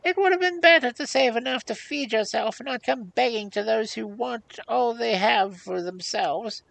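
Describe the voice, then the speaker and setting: A woman's voice: fairly high pitch, moderate pace, creaky, no echo, voice pushed up. A woman voicing a miserly old hag in a storybook, grumbling, sarcastic and mocking as she haggles. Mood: muttering, nosy. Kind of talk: storybook character voice.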